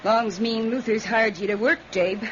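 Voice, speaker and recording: A woman's voice: on the high side, fairly even intonation, normal speed, a bit bright, lightly acted. An actress playing a callous, evasive farm wife and stepmother with a rural Southern American accent in a vintage radio drama. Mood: cool, sly. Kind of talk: 1940s radio drama acting. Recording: muffled, noisy old radio recording.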